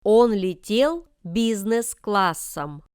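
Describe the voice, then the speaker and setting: A woman reading scripted example sentences for language learners with careful, deliberate enunciation. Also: mid-range voice, animated, a little mellow, clear, resonant tone, no room sound, studio quality. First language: Russian. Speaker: language lesson reader.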